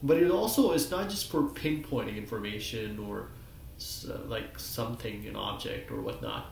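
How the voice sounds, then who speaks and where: A man in his forties with a croaky voice from a cold, talking at a whiteboard in a small office. He speaks haltingly, with many fillers and restarts, and the sound comes from a desk webcam. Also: fairly low pitch, big pitch swings, echoey room, a little hiss or hum, poor audio. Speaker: self-taught presenter. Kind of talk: rambling solo talk at a whiteboard.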